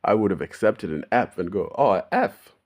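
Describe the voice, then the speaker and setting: Aussie bloke from melbourne just chatting to camera, swears a lot, kinda low voice. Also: male voice, expressive, moderate pace, a little mellow. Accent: Melbourne Australian. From Australia. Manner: laid-back, swearing, laughing often. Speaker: YouTuber. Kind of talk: casual monologue to camera.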